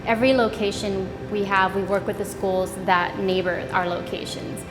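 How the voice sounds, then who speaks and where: A woman's voice: medium pitch, moderate pace, long reverb tail, music in the background, low-quality recording. A middle-aged woman from Vietnam, co-founder of an ice cream shop, talking casually in an interview with frequent ums and restarts. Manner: relaxed, with many ums and restarts.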